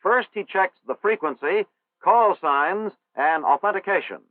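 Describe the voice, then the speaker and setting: Guy narrating an old army training film, kinda high voice, tinny dry sound. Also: lively intonation, dry recording. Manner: formal, measured, instructional.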